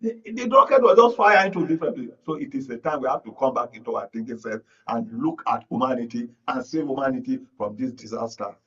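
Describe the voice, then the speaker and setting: A man's voice: medium pitch, expressive, fast, hardly any pauses, breathy edge. A Nigerian man giving radio panel commentary as a media analyst, earnest and emphatic, repeating phrases for weight. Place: radio studio. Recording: muffled audio, distant from mic.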